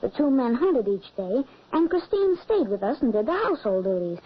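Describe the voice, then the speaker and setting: An actress playing a narrator recalling an eerie childhood tale in a 1940s radio drama. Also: female voice, a little high, sing-song, normal speed. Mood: uneasy, recalling. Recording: noisy muffled 1940s radio recording.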